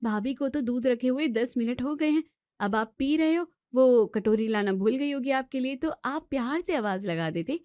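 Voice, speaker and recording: Actress as young student daughter, outspoken and argumentative, highish pitch, dry recording, family drama. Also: female voice, expressive, normal speed, a little mellow, no room sound. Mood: indignant, reasoning. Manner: scolding, argumentative, emphatic rhetorical questions. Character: outspoken young student daughter defending her sister-in-law. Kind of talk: Hindi family drama voice acting.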